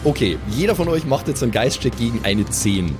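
A man's voice: medium pitch, big pitch swings, a little fast, music in the background. A man narrating an improvised tabletop game as the game master.

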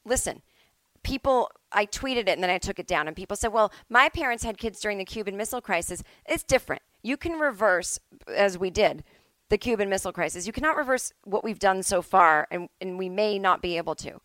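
A middle-aged woman rants casually into a close, dry recording. Her voice sits at an ordinary pitch for a woman, and she speaks bluntly and emphatically, swearing freely as she gives her opinions. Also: lively intonation, brisk, fairly bright tone, no room sound. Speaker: solo podcast host. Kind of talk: casual opinionated monologue, ranting. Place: at home.

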